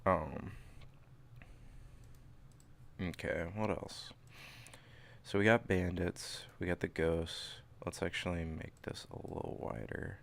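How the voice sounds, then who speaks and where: A man's voice: deep voice, fairly even intonation, a little slow, vocal fry, a little hiss or hum. A sniffly man in his thirties, thinking aloud as he plans a tabletop game setting live from a small home room. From St. Louis area, USA. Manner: rambling, thinking aloud, self-interrupting. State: sniffly from a cold. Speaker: streamer. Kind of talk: casual livestream worldbuilding. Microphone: desk microphone in front, webcam.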